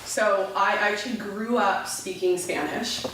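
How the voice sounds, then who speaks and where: A woman's voice: mid-range voice, measured pace, a little hiss or hum. A woman in her thirties, a beverage entrepreneur, telling stories as a guest in a casual interview, with frequent restarts. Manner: animated storyteller, frequent restarts. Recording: echoey room, mic at a distance.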